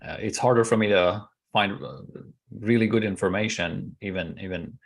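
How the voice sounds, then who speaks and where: Low-voiced man. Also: steady tone, vocal fry. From Iran. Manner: measured, hesitant, frequent pauses with uh. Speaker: guest. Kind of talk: podcast interview answer.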